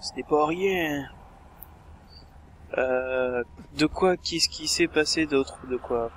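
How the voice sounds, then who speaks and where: A man's voice: fairly high pitch, slow pace, a bit bright, slight background noise. A man playing a video game with a friend, chatting casually and teasing with jokes. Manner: joking, teasing, giggly. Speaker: co-host of a gaming video. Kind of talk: casual gameplay banter.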